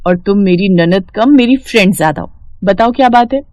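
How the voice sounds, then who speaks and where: A woman's voice: mid-range voice, moderate pace, a little mellow, dry recording, clean studio sound, lightly acted. Woman as a kind, earnest young sister-in-law. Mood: warm, reassuring. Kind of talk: animated storybook cartoon dialogue.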